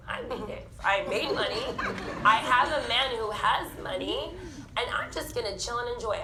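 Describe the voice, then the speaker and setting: Candid woman in her thirties, bright. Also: mid-range voice, reverberant, slight background noise, rough recording. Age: 30s. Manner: candid, animated storytelling. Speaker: panel speaker. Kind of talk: personal testimony on stage. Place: theatre stage.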